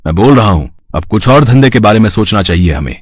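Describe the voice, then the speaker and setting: A poor, lazy flower seller, acted by a man, greedy and easily excited. His voice is rather low and rough, in a dry recording. He talks in an impatient, grumbling cartoon-story manner. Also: fast, no room sound. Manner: grumbling, stammering when startled, impatient. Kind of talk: Hindi cartoon moral story.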